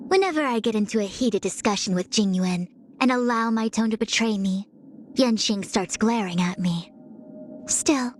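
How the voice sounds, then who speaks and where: A woman's voice: medium pitch, normal speed, slight background noise, lightly acted. An actress voicing a proud, scholarly young female diviner in a video game, speaking formally and eloquently with self-assurance. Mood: wry. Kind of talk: scripted game character voice lines. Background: music.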